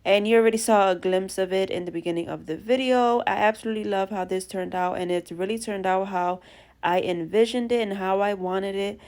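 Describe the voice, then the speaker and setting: A young woman with a stuffy, congested voice from allergies talks through a craft tutorial. She sounds chatty and gushing and speaks to her viewers as friends. Her voice sits at an ordinary middle pitch for a woman. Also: brisk, a little mellow. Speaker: craft vlogger. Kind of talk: DIY tutorial narration.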